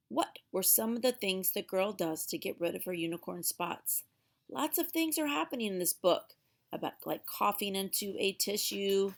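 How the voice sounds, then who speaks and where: A woman reading a storybook aloud for young children in a theatrical way, drawing out words for emphasis. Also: medium pitch.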